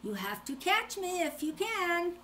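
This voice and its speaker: A woman's voice: very high-pitched, big pitch swings, measured pace, bright, forceful tone, a little hiss or hum, pitched above the performer's own voice, heavily acted. An older woman voicing a small, cocky gingerbread man in a storybook, boasting in a sing-song way. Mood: cocky, teasing.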